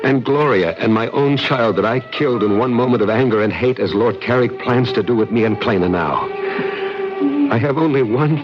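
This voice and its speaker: A man's voice: medium pitch, moderate pace, close to an everyday voice. An actor playing a brooding, impassioned man haunted by lost love, earnest and dramatic in an old-time radio mystery. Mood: brooding, intense. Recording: dull old radio recording with music behind.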